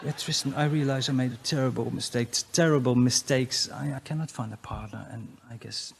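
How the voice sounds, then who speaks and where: A man's voice: medium pitch, steady tone, fairly quick, creaky, slightly rough. A man voicing his regretful Dutch ex-partner in her thirties, with a Dutch accent, hesitant and self-pitying. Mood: mournful.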